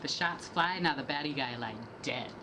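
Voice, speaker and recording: A young woman with a notably low voice delivers rap verses in a steady, rhythmic reading, swapping lines back and forth with a partner. Clear hiss and background noise sit under the homemade recording. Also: normal speed. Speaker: student reader. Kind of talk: dramatic reading of rap lyrics.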